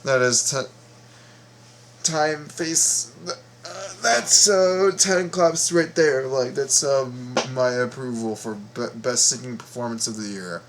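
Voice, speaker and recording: Slow-talking man reacting casually, repeating himself. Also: medium pitch, a crowd in the background. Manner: halting, repeats words and phrases. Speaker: reaction video host.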